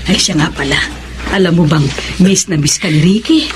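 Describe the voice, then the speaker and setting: A woman playing a warm, chatty, doting Filipino mother in a radio melodrama. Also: lowish voice, normal speed, lightly acted. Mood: warm, fussing. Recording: very noisy scene ambience.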